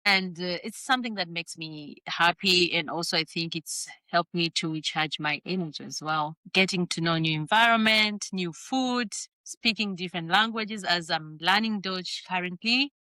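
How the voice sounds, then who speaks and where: A young woman from Tanzania chats on a podcast in a lively, talkative way, her speech rushing ahead in quick bursts. Her voice is bright and smooth, a little lower than most, and it sounds dry and close. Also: dry recording. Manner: lively, chatty, fast bursts of talk. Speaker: podcast co-host. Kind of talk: casual podcast chat.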